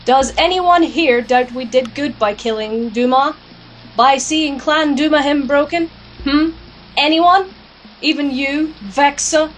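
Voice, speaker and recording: A woman's voice: high voice, slow pace, a little hiss or hum, low-quality recording. A woman playing a defiant, sardonic alchemist, wry and assertive, in an audio drama with narration. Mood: challenging. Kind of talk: first-person narration and audio drama acting.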